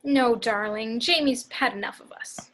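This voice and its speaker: A woman's voice: a little high, unhurried, a bit bright, poor audio. A woman playing a chirpy, smothering wife in an amateur script read. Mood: coaxing. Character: chirpy, smothering wife eager for a happy family.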